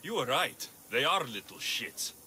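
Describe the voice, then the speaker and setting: A man's voice: medium pitch, big pitch swings, measured pace, bright, forceful tone, a little hiss or hum, full character voice. An actor playing a dry-witted male mercenary hero with a sardonic delivery. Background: game music.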